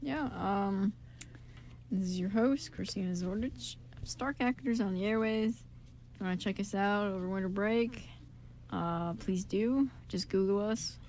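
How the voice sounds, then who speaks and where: A woman's voice: medium pitch, a little slow, a little mellow. A young woman hosting a student radio show, chatting informally and jokingly. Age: young adult. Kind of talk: casual student radio chat. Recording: noticeable background noise.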